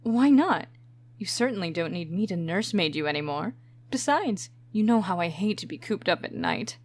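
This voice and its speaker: Woman playing a husky, confident antiheroine. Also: fairly low pitch, lively intonation, normal speed. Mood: breezy, defiant. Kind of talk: comic dub voice acting.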